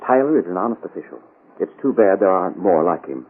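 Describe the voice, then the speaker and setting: Husky, fast-talking radio-drama doctor. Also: male voice, low voice, animated. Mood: earnest. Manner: formal, emphatic, insistent. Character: earnest, aggrieved male doctor insisting on truth. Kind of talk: 1930s radio acting. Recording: muffled, phone-like old radio.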